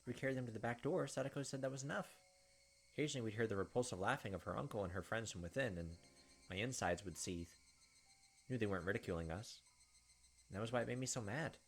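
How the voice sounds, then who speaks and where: A man's voice: medium pitch, fast, creaky, a little hiss or hum. A man hosting a let's play, reading game text aloud dramatically with casual asides. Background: game music.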